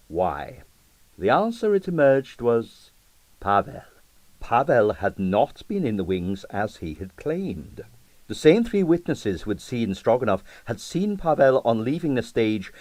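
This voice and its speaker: A man's voice: mid-range voice, no echo. Male narrator for a vintage detective audiobook, storytelling delivery, dry studio sound. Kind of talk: dramatic scripted narration of a vintage detective novel.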